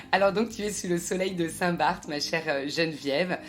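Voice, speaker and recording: A woman's voice: on the low side, measured pace. A French-speaking woman hosting a podcast, reading a scripted intro and then chatting eagerly with her guest. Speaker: podcast host.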